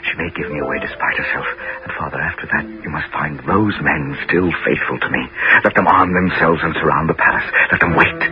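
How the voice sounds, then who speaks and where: Very deep-voiced warrior king. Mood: urgent, hushed. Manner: deliberate, measured, dramatic phrasing. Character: commanding middle-aged Greek warrior king, returned home disguised. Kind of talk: 1970s radio drama acting. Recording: muffled, very noisy 1970s radio recording.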